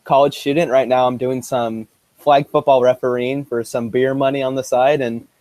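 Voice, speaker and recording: A man's voice: medium pitch, little pitch movement, normal speed, darkish tone, dry recording. A man in his twenties, a college student, introducing himself in an easygoing, laid-back way. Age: 20s.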